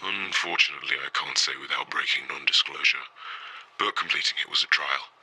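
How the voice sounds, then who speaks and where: An actor playing a guarded, tired former super-soldier recruit who speaks in terse, clipped phrases. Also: male voice, very deep voice, a little fast, bright, forceful tone, husky, exaggerated voice, low-quality recording. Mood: weary.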